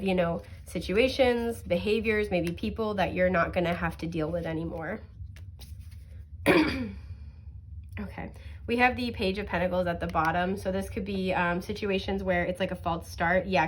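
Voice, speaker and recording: A sniffly woman reading tarot cards in a chatty, reassuring way, with many fillers and soft laughs. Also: fairly low pitch, a little hiss or hum. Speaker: tarot card reader. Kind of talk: casual pick-a-card tarot reading.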